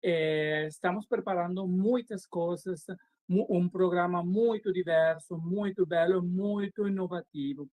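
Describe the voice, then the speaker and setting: A man with an Italian accent, a pageant organizer speaking halting, simple Portuguese over a video call. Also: high-pitched, a little mellow, dry recording. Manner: halting, simple phrasing, many pauses.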